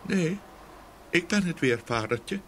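A man's voice: a little high, lively intonation, slow pace. A man voicing a simple, good-natured young peasant son in an audio fairy tale, guileless and agreeable. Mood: meek. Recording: faint ambient noise behind voice.

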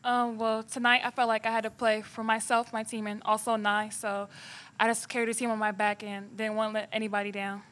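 A young woman, a college player, answering at a postgame press conference in a plain, informal, slightly halting way. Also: on the high side, flat delivery, a bit bright.

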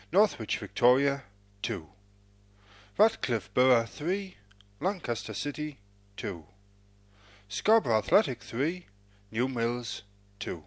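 A man reading out football results as an announcer, in a measured, formulaic sing-song cadence. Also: mid-range voice, dry recording.

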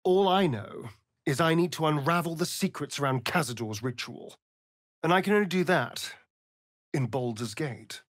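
An actor voicing a suave, vain, sardonic vampire rogue in a video game, with a British accent and an arch, theatrical drawl. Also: male voice, on the high side, animated, moderate pace, no echo, clean studio sound. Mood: resolute. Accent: British English. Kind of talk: video game character voice acting.

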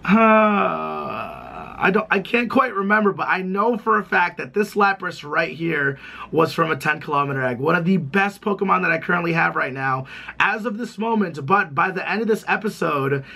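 Hoarse, excitable young man. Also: high-pitched, moderate pace. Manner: excitable, chatty, rambling with many "like"s. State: hoarse, recovering from lost voice. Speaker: gaming YouTuber. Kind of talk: casual gameplay vlog. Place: small room indoors.

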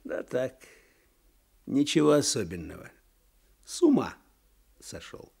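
An actor playing a weary, sardonic older provincial clerk in a radio drama, with a husky voice and folksy, wry speech. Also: male voice, medium pitch, huge pitch swings, slow, dark tone, exaggerated voice. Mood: wry, ironic. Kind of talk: Soviet radio drama acting.

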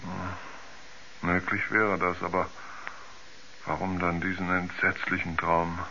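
Haunted male astronaut, groping for words, deep, dull seventies radio-play sound. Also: completely flat delivery, creaky, sharp tone, a little hiss or hum, heavily acted. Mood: hushed dread. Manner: halting, groping for words, repeats himself. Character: troubled male astronaut, haunted by terrifying dreams. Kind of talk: 1970s German radio drama acting.

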